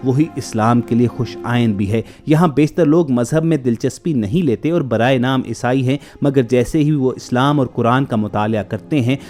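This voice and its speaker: A man's voice: medium pitch, warm tone. A man reads a scripted documentary report in a formal, literary manner, addressing listeners directly. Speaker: narrator of a video report. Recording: clear music bed under narration. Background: background music.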